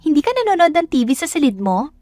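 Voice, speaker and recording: Woman performing a young female housemate, high voice, bubbly, exclaiming, friendly bossy, romance audio drama. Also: very animated, fast, a little mellow, dry recording, faint music behind. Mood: chatty, instructive. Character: bubbly, kind, goofy young housemate woman, easily astonished.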